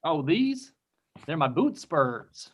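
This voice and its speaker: Man playing a lively flirty Southern cowboy. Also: a little high, slow, heavily acted. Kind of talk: table read of comedy script. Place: indoor room on a video call.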